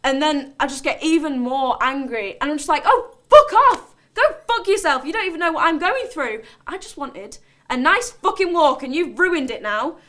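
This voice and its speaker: A woman's voice: high-pitched, a little fast, hardly any pauses, natural voice. A woman playing a volatile, defensive character in a dramatic monologue, blunt and colloquial. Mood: angry, defiant. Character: volatile, defensive, sweary woman recounting her outburst. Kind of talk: dramatic monologue, conversational storytelling.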